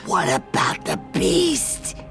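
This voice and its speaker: A woman voices a cringing, eager demon henchman in an audio drama. The voice is heavily creaky and jumps around in pitch. It stammers along timidly and slowly over faint music. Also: wildly swooping pitch, a bit bright, heavily acted. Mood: eager, nervous. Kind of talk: audio drama table read.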